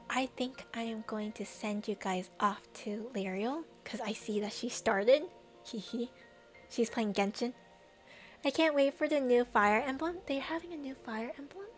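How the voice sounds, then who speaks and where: A woman streamer chatting casually with viewers, rambling, trailing off and laughing often, her voice a little tired. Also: fairly high pitch. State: voice getting tired. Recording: faint music behind.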